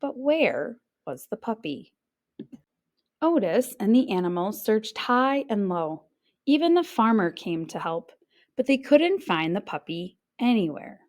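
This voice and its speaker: A woman reading a children's picture book aloud as a teacher would, expressive in her narration and acting out little sounds. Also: medium pitch, a little slow.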